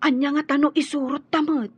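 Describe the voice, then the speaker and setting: A woman's voice: high voice, steady tone, brisk, lightly acted. An actress with an Ilocano accent playing a young, plaintive and loyal servant woman in a radio drama. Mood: earnest, persuasive. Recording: dull, dry lo-fi studio recording.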